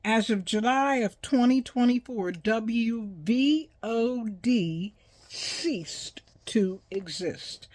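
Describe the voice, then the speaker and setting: A woman over sixty hosting an informal educational monologue, speaking in a deliberate, halting way with emphatic pauses. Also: on the high side, expressive, darkish tone, dry recording. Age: 60s or older. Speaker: host.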